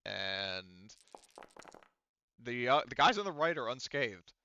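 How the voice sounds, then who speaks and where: A man's voice: medium pitch, very animated, slow, creaky, bright, forceful tone. A man running a tabletop roleplaying game as game master, talking through dice rules in a casual, profane way over slightly dull audio.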